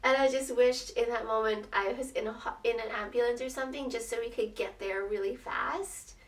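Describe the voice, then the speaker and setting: High-voiced, slightly raspy young woman, echoey room. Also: fairly quick. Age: young adult. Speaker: vlogger telling her birth story. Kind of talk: casual personal storytelling to camera. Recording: roomy echo, distant microphone.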